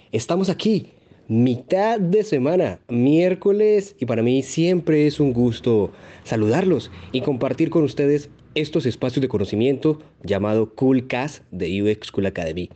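A man's voice: medium pitch, dark tone. A man hosting an interview podcast, courteous and formal as he welcomes and questions his guest. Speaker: podcast host. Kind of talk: interview host.

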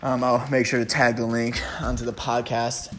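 Loud, swaggering podcast host man. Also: medium pitch, flat delivery, moderate pace, faint music behind. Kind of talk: joking podcast banter.

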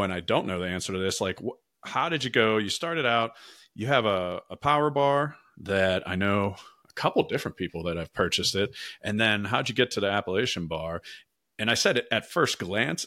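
Male podcast host, American, low-pitched but bright and forward, self-deprecating, close dry sound. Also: no room sound. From Pittsburgh, United States. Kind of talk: casual podcast interview chat.

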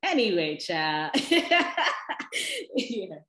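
A young woman with a deep voice, chatting casually and telling personal stories to her viewers, with frequent laughs and lively asides. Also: drawn-out words, reverberant. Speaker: vlogger. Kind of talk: casual personal storytelling to camera.

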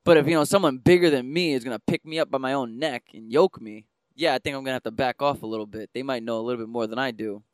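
A man co-hosting a podcast, chatting casually and riffing on things in an animated way. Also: medium pitch, fast, clear, resonant tone, no echo. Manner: animated riffing, mock-quotes characters. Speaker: podcast co-host. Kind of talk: casual chat, cartoon recap.

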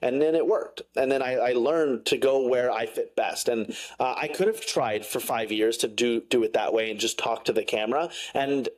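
A man's voice: medium pitch, normal speed, few pauses, darkish tone, rough recording. A man talking as a guest in a casual interview, frank and rambling, with many fillers. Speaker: guest, science content creator.

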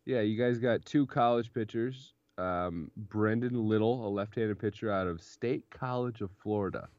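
A man's voice: lowish voice, normal speed. A young man co-hosting a casual sports podcast, chatting and laughing often between points. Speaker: podcast co-host. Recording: dull, dry lo-fi recording.

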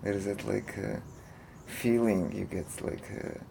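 A man's voice: lowish voice, fairly even intonation, unhurried, gravelly, dark tone, slight background noise. A man from Croatia, speaking English as an in a hesitant interview, full of fillers and restarts. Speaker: interview guest, monk. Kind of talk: casual interview talk.

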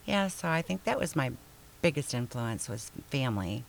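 Older woman singer-songwriter from michigan, soft and hesitant, lots of ums. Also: rumbling low, sing-song, normal speed, vocal fry. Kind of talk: casual interview chat.

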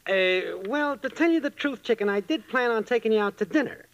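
Brash, slangy, bright-voiced boyfriend. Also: male voice, very high-pitched, expressive, fairly quick, lo-fi sound, heavily acted. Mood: glib. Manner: glib, slangy, casual swagger. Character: slangy, freeloading boyfriend, a brash cheap fibber. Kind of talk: old-time radio comedy acting.

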